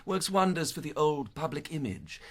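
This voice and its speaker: A genial Victorian charity official in a radio play, folksy and confiding. His voice sits fairly high and swings up and down in a lively way. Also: moderate pace, heavily acted. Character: chatty, genial man at an actors' charity fund. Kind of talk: radio drama acting.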